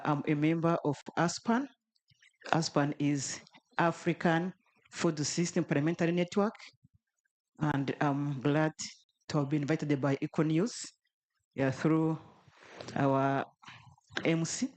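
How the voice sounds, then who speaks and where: A woman's voice: low voice, steady tone, slow, long pauses, a little mellow. A middle-aged woman legislator formally introducing herself and listing her roles at a policy dialogue.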